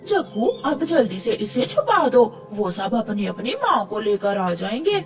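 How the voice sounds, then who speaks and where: A woman voicing a scolding, deceitful mother crow in a cartoon story, dramatic and sharp-tongued. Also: medium pitch, very animated, measured pace, recorded from a distance, music in the background, voice pushed down. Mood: scheming. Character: scolding, deceitful crow mother who coddles her son.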